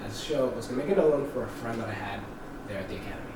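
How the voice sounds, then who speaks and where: A young man telling stories in casual chat, animated and laughing often. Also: medium pitch, racing. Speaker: podcast co-host. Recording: echoey room, distant mic, background noise.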